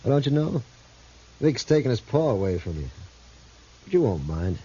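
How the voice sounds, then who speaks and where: A man's voice: medium pitch, sing-song, moderate pace, dark tone, slightly rough. An actor playing a vengeful, menacing gunman in a 1950s American Western radio drama, curt and threatening. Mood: gloating menace. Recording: dull, hissy 1950s radio recording.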